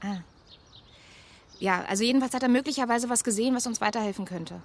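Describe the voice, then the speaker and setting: An actress playing an awkwardly friendly police officer in a naturalistic radio drama, questioning gently and hesitantly. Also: female voice, fairly high pitch, fast, a little hiss or hum, lightly acted. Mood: polite, probing.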